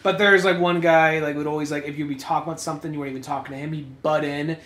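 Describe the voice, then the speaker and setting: A man's voice: fairly high pitch, fairly even intonation, racing, a bit bright. A man co-hosting a casual comic commentary, talking in loose, sweary slang. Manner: profane, slangy. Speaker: podcast co-host. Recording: roomy, echoey sound.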